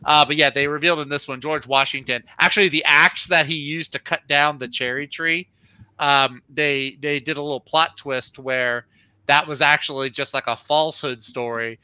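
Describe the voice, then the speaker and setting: A man with a very bright, fairly high voice gives an informal, off-the-cuff review. He talks with open enthusiasm in a casual chat style, and the sound is close and dry. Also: steady tone, moderate pace, smooth, no echo, rough recording. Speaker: comic book reviewer on a livestream show.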